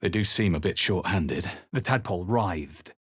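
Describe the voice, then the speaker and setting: An actor playing a grave, brooding male fantasy game hero, speaking in a measured, serious and intense way. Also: low-pitched, animated, slightly rough, dry recording, clean studio sound. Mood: terse, wary. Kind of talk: dramatic scripted fantasy game narration and character lines.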